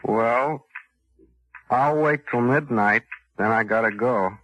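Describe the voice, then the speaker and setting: An actor playing an unhinged hitman who talks about killing in a flat, matter-of-fact way, in 1940s radio suspense style. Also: mid-range voice, slow, rough recording. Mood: coldly casual. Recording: vintage radio drama broadcast.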